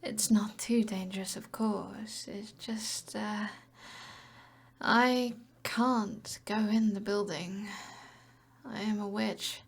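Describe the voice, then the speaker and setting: A woman's voice: mid-range voice, steady tone, takes it slowly, full character voice. A British woman playing an alluring, commanding evil sorceress in an ASMR roleplay, half-whispering in a teasing, possessive way. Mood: seductive, confiding. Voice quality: breathy, whispery.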